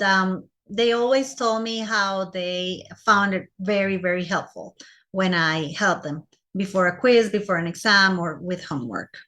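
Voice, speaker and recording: A woman's voice: mid-range voice, fairly bright tone. A woman from Costa Rica with a Costa Rican Spanish accent in English, speaking as a teacher giving a personal presentation to fellow teachers.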